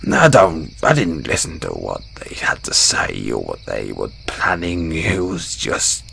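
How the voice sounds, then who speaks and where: Man playing deep, folksy dwarven blacksmith. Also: creaky, fairly bright tone, slight background noise, heavily acted. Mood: wry reminiscing. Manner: folksy, chuckling, hesitant storyteller. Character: kind, modest, battle-scarred dwarven blacksmith, hearty adventurer. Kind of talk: fantasy audio roleplay, spoken to a companion.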